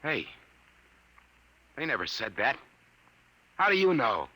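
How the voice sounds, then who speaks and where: An actor playing a slangy, excitable American man in a 1950s radio drama. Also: mid-range voice, slow pace, full character voice, low-quality recording. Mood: wary, puzzled. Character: brash, excitable American man caught by aliens. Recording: vintage 1950s radio recording.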